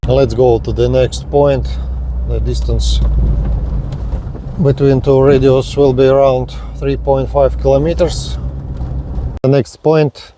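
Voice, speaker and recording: A man narrating a hobby field test vlog step by step in halting, short, repetitive phrases. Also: mid-range voice, dark tone, slight background noise. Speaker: radio hobbyist presenting a range test.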